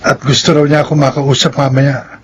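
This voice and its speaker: A man's voice: medium pitch, little pitch movement, a little fast, husky, natural voice. A man acting an earnest, humble, nervous young employee in a Filipino radio drama, speaking deferentially. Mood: uneasy. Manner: deferential, sincere. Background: music.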